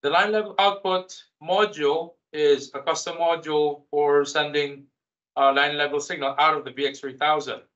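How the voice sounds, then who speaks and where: A man giving a technical product webinar as a specialist, in a halting, hesitant way with repeated words. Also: on the high side, fairly even intonation, a bit bright. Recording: slightly dull, lo-fi webinar audio.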